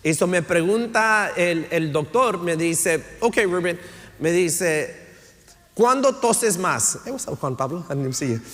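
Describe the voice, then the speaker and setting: A man's voice: high-pitched, lively intonation, takes it slowly, fairly bright tone. A man, preaching a sermon as pastor, mixing in English words and asking "right?" to check in with his listeners. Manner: switches between Spanish and English, says "right?" often; preaching with rising emphasis, tells stories. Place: church sanctuary. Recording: church hall reverb.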